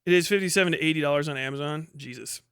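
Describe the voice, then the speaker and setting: A man's voice: fairly high pitch, rapid-fire, fairly bright tone, smooth, dry recording, studio quality. A man hosting a livestream, giving rambling, emphatic and sweary opinionated commentary in casual speech. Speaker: livestream host.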